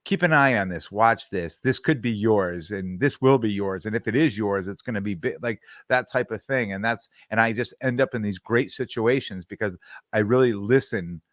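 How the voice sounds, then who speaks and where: Low-voiced middle-aged man, rambling chat. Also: normal speed, a bit bright, dry recording, studio quality. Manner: rambling, repeats words while searching for them. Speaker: podcast co-host. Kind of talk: casual chat.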